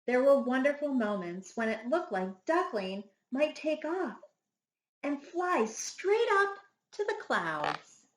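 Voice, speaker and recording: A woman reading a children's picture book aloud like a schoolteacher, with dramatic, deliberate phrasing. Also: high voice, big pitch swings. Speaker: first grade teacher reading a picture book. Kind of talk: expressive children's storybook read-aloud. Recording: echoey room sound.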